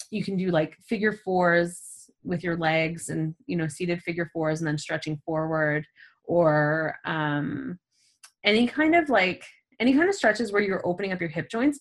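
A woman co-hosting a casual podcast about stretching and wellness, animated, laughing often and filling her talk with "like" and "um". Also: on the low side. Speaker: podcast co-host.

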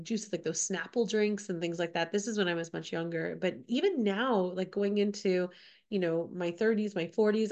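A woman in her forties hosting a casual solo podcast while getting over a cold, so her voice sounds a little off. She talks in a chatty, loose way, tossing in "like" and "right?" and circling back to her points. Her pitch sits in an ordinary middle range. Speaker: podcast co-host.

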